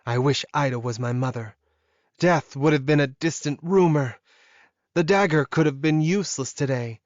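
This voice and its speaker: A man's voice: fairly high pitch, normal speed, dry recording, lo-fi sound, close to an everyday voice. A man voicing an evil young werewolf woman in an audiobook, pleading and emotional while she schemes. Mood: bitter, defiant. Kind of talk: audiobook character acting.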